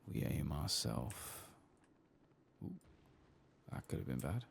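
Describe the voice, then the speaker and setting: A man giving soft-spoken ASMR commentary as he plays a game, quiet and relaxed, murmuring to himself. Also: a bass voice, takes it slowly, vocal fry, slight background noise. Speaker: gaming ASMR host.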